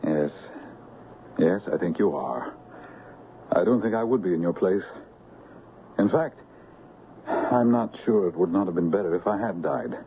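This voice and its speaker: A man's voice: deep voice, expressive, measured pace, long pauses. An actor playing a wounded, humble king in a radio drama, speaking in a reflective, formal manner. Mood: wry, humbled. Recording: muffled, noisy vintage radio broadcast.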